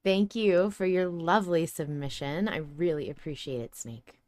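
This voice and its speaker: A woman's voice: low voice, moderate pace, darkish tone, no room sound. A woman hosting a podcast, talking casually and analytically, reflective as she thinks aloud. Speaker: podcast host.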